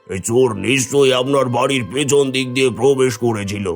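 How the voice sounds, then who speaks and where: A man's voice: medium pitch, brisk, soft background music, lightly acted. Man as an earnest, defensive cartoon watchman. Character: earnest, defensive male night watchman of a landlord's house. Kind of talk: cartoon voice acting.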